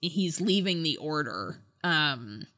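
A woman's voice: low voice, very animated, slow pace, bright, forceful tone, no echo, studio quality. A woman co-hosting a podcast, talking through a book casually in a thoughtful, hesitant way. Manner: hesitant, thoughtful, hedging delivery. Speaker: podcast co-host.

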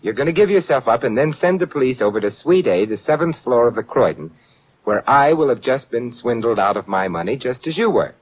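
An actor playing a suave, wry gentleman adventurer in 1940s radio style, with a dry, urbane manner. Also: mid-range voice, lively intonation, brisk, slightly rough. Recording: muffled vintage radio recording.

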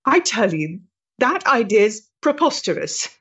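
A woman's voice: fairly high pitch, very animated, measured pace, a bit bright, slightly rough, highs cut off, full character voice. An actress playing a proud, intense, troubled freethinking woman of the 1890s in a period stage drama, speaking emphatically and articulately. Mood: defiant.

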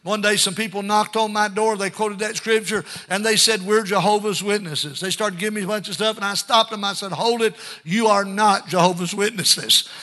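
An older male preacher with a high voice and a very sharp, forceful tone. He delivers a fervent Pentecostal sermon, exhorting the congregation and dropping frequent amens between his points. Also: fairly even intonation, a little fast, hardly any pauses. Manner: exhorting, punctuated with frequent amens and calls for response.

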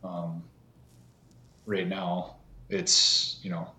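A man in his thirties chatting casually as a podcast guest, halting with many fillers and restarts. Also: deep voice, big pitch swings, creaky, bright, forceful tone, echoey room. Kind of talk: casual conversation.

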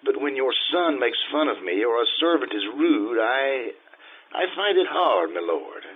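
An actor playing an aging, boastful Casanova in a florid, theatrical old-time radio drama style, through a vintage radio filter. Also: male voice, medium pitch, big pitch swings, a little slow, slightly rough. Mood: wounded pride. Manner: florid, theatrical, self-admiring. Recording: tinny vintage radio sound with music.

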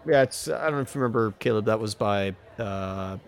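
A man's voice: fairly low pitch, fairly quick, creaky, slight background noise. A man chatting casually about films as a co-host on a podcast.

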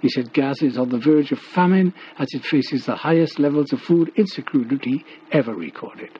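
A man's voice: mid-range voice, brisk, dark tone, slight background noise. A man reading formal scripted news on the radio. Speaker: radio newsreader. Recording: dull, muffled shortwave radio recording.

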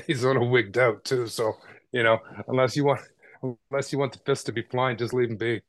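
A man's voice: mid-range voice, fairly even intonation, brisk, a bit bright, dry recording. A man from Canada, a podcast guest, telling his story in a casual, conversational way with frequent "right?" tags and small repeats.

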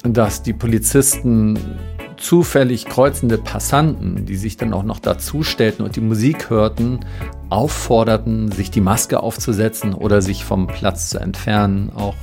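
A man with a low, slightly gravelly voice delivers a scripted commentary as a reporter. His tone is dryly ironic and mock-solemn, and music plays underneath his narration. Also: fairly even intonation, normal speed, a little mellow.

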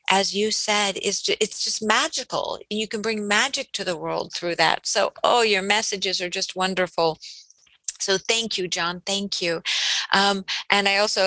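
Bright, effusive woman podcast host. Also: medium pitch, no echo, low-quality recording. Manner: warm, affirming, effusive. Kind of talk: podcast interview.

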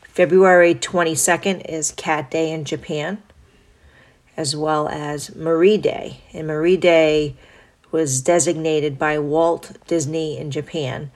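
Low-voiced woman with a stuffy cold. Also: takes it slowly. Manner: reads list items in a steady, even way with small asides. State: congested from a cold. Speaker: podcast host. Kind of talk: solo podcast reading a list.

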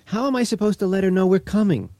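Mellow, exasperated radio-drama husband. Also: male voice, high-pitched, fast, no room sound. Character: skeptical, exasperated but obliging husband.

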